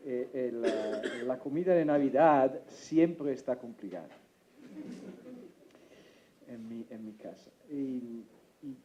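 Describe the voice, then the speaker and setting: A man from Italy speaking Spanish as a second language with an Italian accent, presenting his think tank's work and often halting to search for words. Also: medium pitch, dark tone, rough recording. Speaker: think tank representative. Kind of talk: presentation talk.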